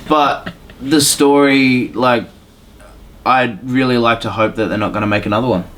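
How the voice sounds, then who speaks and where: A man talking casually as a podcast panelist, with a somewhat halting, hesitant delivery. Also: mid-range voice, fairly bright tone. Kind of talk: casual podcast chat about films.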